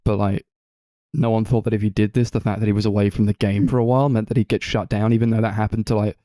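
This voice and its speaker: A man chats as a podcast co-host in a casual film discussion. His voice is slightly low and very mellow. He rambles, dropping "like" often, and the recording is dry. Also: level tone, quick pace, no echo. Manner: rambling, full of "like".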